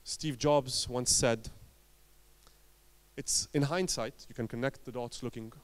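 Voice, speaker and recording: Guy from lebanon doing a startup conference talk, storytelling, punchy phrases w/ dramatic pauses. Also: mid-range voice. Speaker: conference speaker, entrepreneur.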